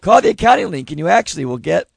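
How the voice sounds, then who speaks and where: A man hosting a live radio talk show, loud and excitable, losing his voice. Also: on the high side, racing, creaky, breathy edge, dry recording. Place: radio studio with live audience.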